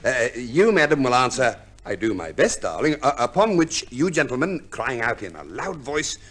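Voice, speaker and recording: A fussy, scheming divorce lawyer in a vintage radio play. He is pedantic and precise, stammering a little before he pronounces, and his mid-pitched voice rises and falls theatrically. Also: very animated, moderate pace, fairly bright tone. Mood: relishing instruction. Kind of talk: 1950s radio play acting.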